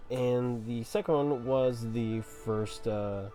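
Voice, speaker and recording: A man giving casual gameplay commentary as a streamer, halting and often repeating his words. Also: medium pitch, dark tone, faint music behind. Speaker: game streamer.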